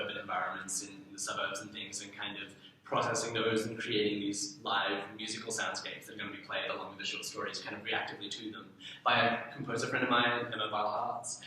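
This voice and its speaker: A man's voice: on the low side, pushed and bright, a little hiss or hum. Young Australian man in a very echoey room. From Darwin, Australia. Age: young adult. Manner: hesitant, self-deprecating, meandering. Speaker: artist and writer being interviewed. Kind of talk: casual interview chat. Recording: very echoey room, distant mic.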